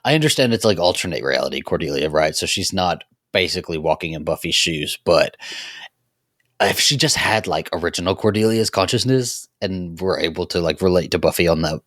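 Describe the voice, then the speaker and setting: A man's voice: deep voice, lively intonation, a little fast, a bit bright, no echo, studio quality. A man co-hosting a podcast, chatting casually and jumping in with slangy remarks. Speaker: podcast co-host. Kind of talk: casual chat.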